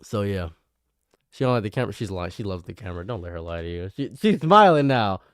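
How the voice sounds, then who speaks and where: A man's voice: on the low side, very animated, fast talker, clear, resonant tone, no room sound. Young man, nasal voice, rambling streamer chat, self-mocking, dry sound. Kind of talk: casual live stream chat.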